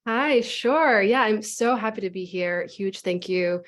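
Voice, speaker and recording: A woman's voice: medium pitch, measured pace. Upbeat woman speaking on a panel. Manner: enthusiastic, conversational, peppered with "like". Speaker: deputy director of a nonprofit. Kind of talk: panel remarks.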